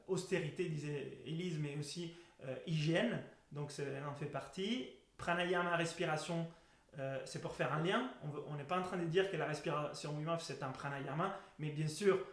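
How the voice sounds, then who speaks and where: A man with an Italian accent giving an informal explanatory lesson as a breathwork instructor. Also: a little high. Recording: echoey room sound.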